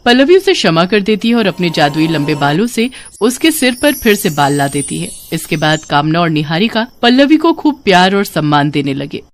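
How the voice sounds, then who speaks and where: A woman's voice: on the low side, sing-song, moderate pace, hardly any pauses, slight background noise. A woman narrating a scripted moral bedtime story.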